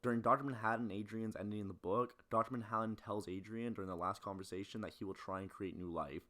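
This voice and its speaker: A man's voice: on the low side, fairly even intonation, quick pace, a bit bright, slight background noise. A man delivering a video essay on film, speaking bluntly and opinionatedly with casual swearing. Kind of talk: film analysis commentary with casual ranting.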